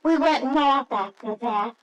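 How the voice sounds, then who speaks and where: An actress playing an earnest, anxious young American wife in an old radio drama, hesitant and tender. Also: female voice, fairly high pitch, measured pace, dull audio, soft background music, lightly acted. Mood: wistful, reflective. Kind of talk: old-time radio drama acting with narration. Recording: faintly noisy old radio recording.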